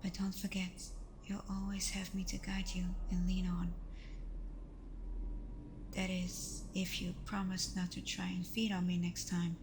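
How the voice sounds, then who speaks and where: A woman playing a tender, playful vampire girlfriend in an intimate ASMR roleplay, speaking softly and often slipping into whispers with hesitant pauses. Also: on the low side, completely flat delivery, noisy background. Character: tender female vampire girlfriend, reassuring, playful, a little vulnerable.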